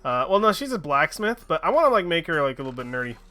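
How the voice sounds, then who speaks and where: Lively, bright-voiced cursing game streamer. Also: medium pitch, quick pace. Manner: chatty, swears freely, laughs at themself. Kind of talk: casual gaming livestream chat.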